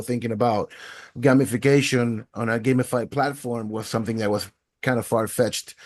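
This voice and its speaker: A man answers interview questions as a podcast guest. He talks thoughtfully and fluently at a medium pitch, and the room sound is dry. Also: no echo. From Venezuela. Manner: thoughtful, fluent storytelling with casual fillers. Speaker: podcast guest, education technologist.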